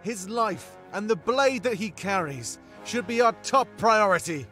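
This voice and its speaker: A man's voice: sits high, animated, a little slow, sharp tone, faint music behind, pitched above the performer's own voice, full character voice. A man voicing a bold rebel commander in a fantasy visual novel, emphatic and commanding as he addresses his troops.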